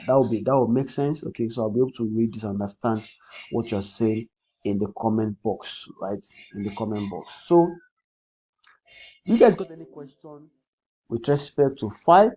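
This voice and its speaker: A man with a Nigerian accent, a native Yoruba speaker, hosting a casual crypto livestream in a husky voice, repeating phrases and often checking in with "okay". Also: medium pitch, expressive, moderate pace, dark tone, poor audio. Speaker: crypto trading livestream host. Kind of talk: casual livestream commentary, answering comments.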